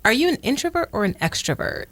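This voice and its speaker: A woman's voice: sits high, fast talker, dry recording. A woman from Jamaica who grew up in the Bronx, hosting a radio interview in an affirming, chatty way. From Jamaica, raised in the Bronx. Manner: warm, affirming, lively filler-rich talk. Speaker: radio show host. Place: radio studio.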